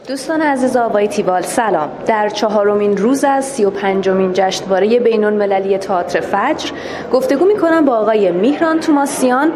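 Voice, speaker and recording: A woman hosting an interview, opening with a brisk announcer-like introduction. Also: medium pitch, lively intonation, a crowd in the background.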